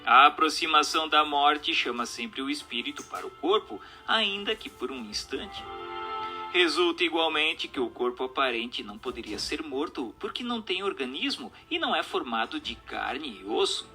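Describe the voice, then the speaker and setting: Guy reading an audiobook, very bright sharp voice, formal, bit of music behind him. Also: on the high side, big pitch swings, moderate pace. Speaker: narrator reading a book aloud. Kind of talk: scripted narration, audiobook reading.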